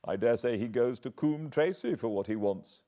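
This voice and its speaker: A man's voice: mid-range voice, lively intonation, moderate pace, mellow, voice pushed up, natural voice. An English man voicing an anxious, earnest older butler who pleads and frets. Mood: confiding. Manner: agitated, pleading.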